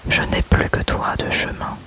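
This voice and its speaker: A man softly whispering love poems aloud in a close-up ASMR reading, unhurried and gentle. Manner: slow, soft unvoiced whisper throughout. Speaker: ASMR creator.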